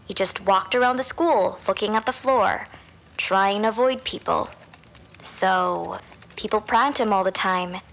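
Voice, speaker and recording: An actress playing a chatty high school girl who gives candid, casual testimony in an audio drama. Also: unhurried, gravelly, a bit bright, rough recording.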